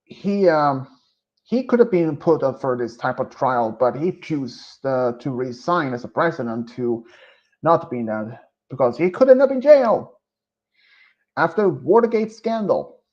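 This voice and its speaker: A Swedish-accented man chats to his stream in slow, deliberate English, his voice sitting a little high for a man. He stops often, filling the gaps with ums and uhs as he loses his thread. The lo-fi mic makes everything sound muffled and dull. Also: sing-song, a little mellow. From Sweden. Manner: hesitant and rambling, with many pauses and fillers. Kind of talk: casual live-stream chat.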